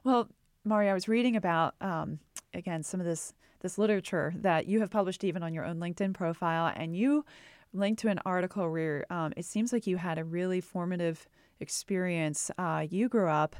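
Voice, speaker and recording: A woman's voice: fairly low pitch, a little fast, a little mellow, smooth, dry recording, clean studio sound. Upbeat woman hosting a podcast. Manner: upbeat, friendly introductions and questions. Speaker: podcast host.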